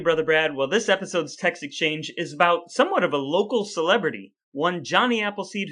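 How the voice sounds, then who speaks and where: Podcast co-host, male, fairly high and forceful voice, talkative explainer. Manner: explains at length, asks his brother questions. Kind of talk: casual chat.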